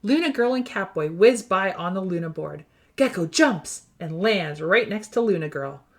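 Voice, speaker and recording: A woman reading a storybook aloud for young children in an animated, expressive storytelling style. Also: lowish voice, moderate pace. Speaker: children's book reader.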